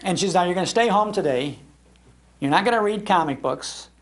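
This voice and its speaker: Older American male speaker, creaky-voiced, for folksy nostalgic storytelling delivered live with emphasis. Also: high-pitched, expressive, brisk. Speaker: speaker giving a talk on old-time radio. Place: public library talk before an audience.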